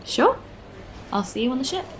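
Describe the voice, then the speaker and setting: A woman's voice: sits high, very animated, normal speed, noisy background. A woman playing a friendly, eager alien spaceship captain in a science-fiction audio drama, talking casually. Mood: friendly, hopeful. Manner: casual, chatty. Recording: faint background ambience. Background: faint ambience.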